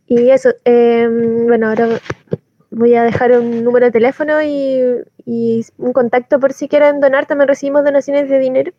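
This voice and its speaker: Higher-voiced Chilean woman, casual. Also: level tone, moderate pace. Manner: informal, colloquial. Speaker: community soup kitchen volunteer. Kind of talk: casual spoken appeal for donations. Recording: dull lo-fi close recording.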